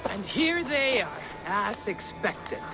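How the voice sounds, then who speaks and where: An actress playing a lewd, smug mercenary leader in a video game, with a taunting, flirtatious manner. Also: female voice, medium pitch, very animated, slow pace, loud music behind the voice, poor audio. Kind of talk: video game cutscene acting.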